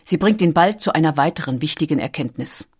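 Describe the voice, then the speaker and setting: A female narrator with a slightly low voice delivers a scripted documentary. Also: lively intonation, brisk, darkish tone, slightly rough.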